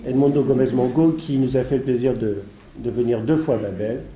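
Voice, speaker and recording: A man with a congested head cold gives a halting, digressive introduction as host of a seminar. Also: medium pitch, warm tone. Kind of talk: spoken introduction of a seminar, semi-improvised. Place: seminar room with an audience. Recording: echoey room with faint background noise.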